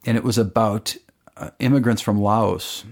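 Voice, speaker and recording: Low-voiced chatty songwriter man. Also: fairly even intonation, normal speed, vocal fry. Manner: chatty, explains at length with many fillers. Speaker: songwriter guest. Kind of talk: casual radio interview chat.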